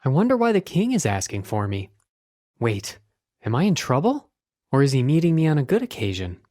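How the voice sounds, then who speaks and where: A woman's voice: a bass voice, wildly swooping pitch, brisk, warm tone, voice pushed down, heavily acted. A woman voicing an anxious, earnest young man in a children's story, with dramatic, plaintive delivery. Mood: worried.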